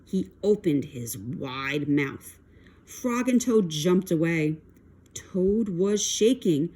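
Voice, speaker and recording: Expressive, slow-paced woman reading to children. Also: on the low side, breathy edge. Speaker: storybook reader for children. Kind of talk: expressive children's read-aloud.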